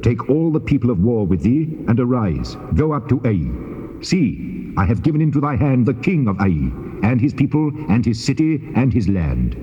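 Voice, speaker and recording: An actor playing God as a stern, commanding deity in a solemn dramatized scripture reading. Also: male voice, mid-range voice, sing-song, moderate pace, dark tone, natural voice. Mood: commanding, assuring. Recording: cavernous reverb, dull old cassette tape.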